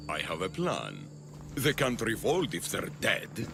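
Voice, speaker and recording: An actor playing a smug, scheming politician villain in an ancient Greek video game, speaking in a theatrical, mocking, deliberate way. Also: male voice, on the low side, huge pitch swings, gravelly, background noise, full character voice. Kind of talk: dramatic video game cutscene acting.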